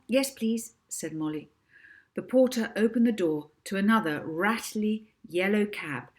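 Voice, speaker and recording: A woman reads a children's novel aloud. Her delivery is very slow and measured, in a fairly low register. She is expressive and places clear emphasis as she tells the story. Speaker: author reading own book. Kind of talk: expressive children's audiobook narration.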